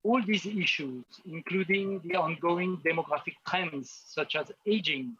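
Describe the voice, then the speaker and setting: A man with a voice delivering a formal, measured official speech with occasional hesitations. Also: fairly high pitch, a bit bright, husky, dry recording, muffled recording. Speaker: official speaker.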